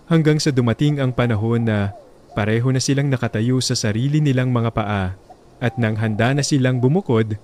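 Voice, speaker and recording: A man narrating a sentimental love story in a solemn, deliberate storytelling way. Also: medium pitch, darkish tone, slight background noise. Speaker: radio drama narrator.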